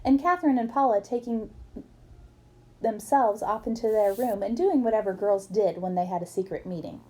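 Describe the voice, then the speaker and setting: A woman's voice: medium pitch, moderate pace, darkish tone. A woman reading a book aloud expressively, as for children, acting up the dialogue. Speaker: book reader.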